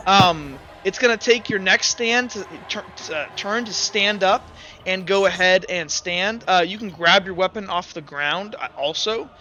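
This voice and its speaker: A man's voice: high voice, measured pace, pushed and bright, dull audio, a little hiss or hum. A man acting as game master in a tabletop roleplaying game, talking casually and swearing freely. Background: background music.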